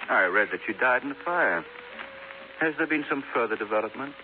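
A man's voice: mid-range voice, lively intonation, fairly quick, phone-quality sound, lightly acted. A man playing a haughty, evasive former movie star in a 1950s radio drama, theatrical and amused in his condescension. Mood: smug, evasive. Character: vain, disdainful male former film star, smooth evasive suspect. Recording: thin, hissy 1950s radio recording.

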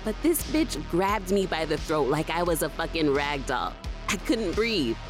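A woman's voice: low voice, very animated, unhurried. A woman playing a feisty, blunt, protective and foul-mouthed young friend in a horror-comedy audio drama. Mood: indignant recounting. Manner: sassy, blunt. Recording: prominent music underneath.

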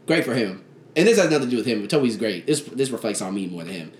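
Young guy on a podcast, voice swinging all over, echoey room, bit of hiss. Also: fast. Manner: animated storytelling, mimics others with jumps in pitch, laughs often. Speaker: podcast co-host. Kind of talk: casual chat. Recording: echoey room with faint background noise.

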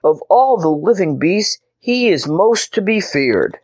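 A woman's voice: on the low side, big pitch swings, unhurried, a little mellow. A woman voicing a polite, agreeable male griffin who gives advice. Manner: polite, advising.

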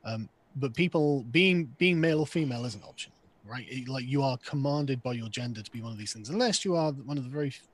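Man, husky voice, mid pitch, interrupts and restarts, call mic. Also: lively intonation, fast talker. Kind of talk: debate on a video call.

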